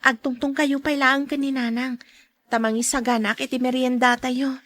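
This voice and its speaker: Radio drama ingenue played by a woman: polite, modest Ilocano daughter, very high voice. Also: flat delivery, moderate pace, a bit bright, no echo. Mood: earnest, subdued. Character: polite, modest young working daughter, shyly courted.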